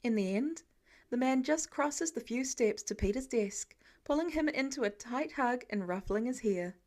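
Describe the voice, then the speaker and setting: A woman with a fairly high voice narrates a written story. She reads scripted fiction in the manner of an audiobook. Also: expressive, normal speed, a little mellow.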